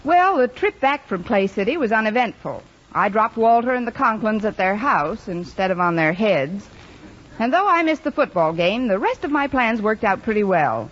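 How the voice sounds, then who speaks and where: Wry radio schoolteacher, dull old recording. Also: female voice, a little high, sing-song, moderate pace, fairly bright tone, slight background noise. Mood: dry, amused. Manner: dry, wisecracking delivery. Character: wry, quick-witted schoolteacher woman, narrating her outings. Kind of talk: 1950s radio comedy acting. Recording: muffled old-time radio recording with music.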